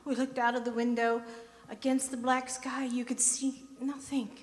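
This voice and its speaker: A woman playing a haunted young wife who narrates her eerie journal in radio drama style, measured and full of dread. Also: on the high side, level tone, natural voice. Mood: tense. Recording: live theater stage, long hall reverb.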